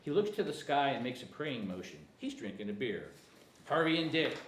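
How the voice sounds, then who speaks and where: A man's voice: medium pitch, sing-song, moderate pace. A man narrating screenplay directions at a table read, sometimes stumbling over the text. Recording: echoey room, faint noise.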